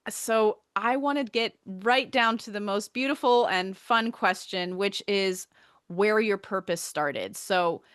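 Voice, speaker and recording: A woman's voice: mid-range voice, slow, fairly bright tone, smooth, no room sound, studio quality. A woman hosting a podcast interview, asking questions in an encouraging, friendly way. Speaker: podcast host.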